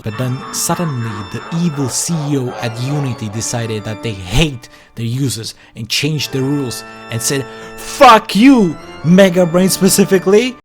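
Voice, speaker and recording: A man's voice: medium pitch, normal speed, over music. A man hosting a comedic scripted video essay, talking in a sarcastic, self-deprecating and theatrical way. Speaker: YouTube creator and host.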